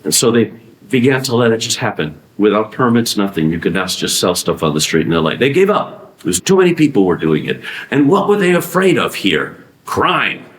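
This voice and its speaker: A man giving a conference talk, animated, asking rhetorical questions and answering them himself. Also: lowish voice, fairly quick. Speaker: conference speaker. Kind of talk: conference presentation. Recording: echoey room.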